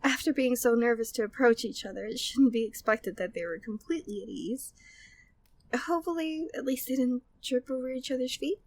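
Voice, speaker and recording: High-voiced flirty, devoted maid played by a woman. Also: fairly quick. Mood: amused, gentle. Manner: formal, genteel phrasing, breathy sighs, teasing. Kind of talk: intimate ASMR roleplay, scripted monologue.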